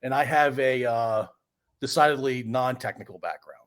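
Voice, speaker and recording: A man's voice: medium pitch, moderate pace. A man from Central Virginia, a podcast host chatting casually and rambling a little as he sets up his questions. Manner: friendly, rambling with many uh fillers. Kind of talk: casual conversation.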